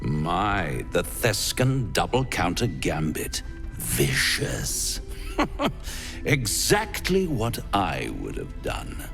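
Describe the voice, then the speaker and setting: A suave gentleman devil with a low, gravelly voice. He is smug, menacing and theatrical, savouring each word as his pitch sweeps dramatically in a game scene. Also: huge pitch swings, extremely slow, soft background music, heavily acted. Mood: silky, gloating. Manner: silky, theatrical, savouring words. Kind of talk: video game voice acting.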